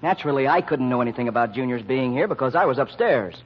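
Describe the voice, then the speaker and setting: A man's voice: mid-range voice, lively intonation, fast talker, a little hiss or hum, natural voice. An actor playing a prim, accusing young son who needles his family in an old radio drama style. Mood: needling. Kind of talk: 1940s radio acting. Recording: dull lo-fi old radio recording.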